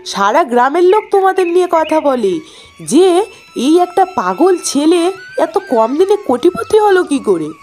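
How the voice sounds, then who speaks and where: A jealous village housewife in a cartoon, played by a woman. Her high voice swings widely as she scolds and pries, with light music underneath. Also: big pitch swings, unhurried, a little mellow, full character voice. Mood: nosy, prodding. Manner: scolding, gossipy. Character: envious, nagging village housewife, nosy neighbour. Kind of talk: cartoon voice acting.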